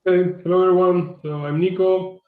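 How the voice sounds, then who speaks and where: A man's voice: sits high, steady tone, moderate pace, echoey room, phone-quality sound. A man from Argentina introducing himself as a board candidate, hesitant and restarting often. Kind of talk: online meeting self-introduction.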